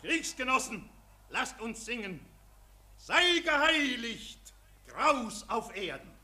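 Shrill, bombastic war poet. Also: male voice, big pitch swings, takes it slowly, a loud crowd, heavy background noise, full character voice. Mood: fanatical, exalted. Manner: declamatory, shrill, martial fervour. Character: bombastic, fanatical Berlin war poet declaiming verse. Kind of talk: 1940s radio play verse recitation.

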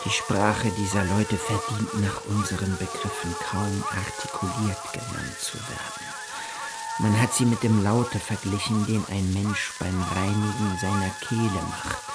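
An actor playing a 19th-century European explorer in a radio play, narrating his voyage in a deliberate, solemn, condescending literary manner. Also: lowish voice, level tone, close to an everyday voice, poor audio. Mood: detached disdain. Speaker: actor reading a historical explorer's account. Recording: loud music under the voice.

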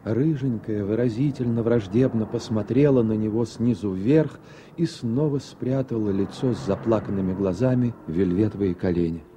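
A man reading scripted literary narration for a radio play, measured and with deliberate pauses. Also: lowish voice, warm tone, slight background noise. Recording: dull old radio recording, faint music. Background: faint music.

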